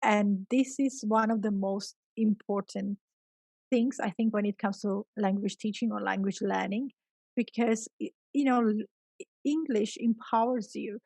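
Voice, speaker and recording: A middle-aged woman speaks as a guest teacher in a conversational interview. Her medium-pitched voice has a gravelly creak, and she stresses and repeats her key points. The sound is dry and close. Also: steady tone, unhurried, darkish tone, no room sound. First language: Georgian. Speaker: guest, experienced English teacher.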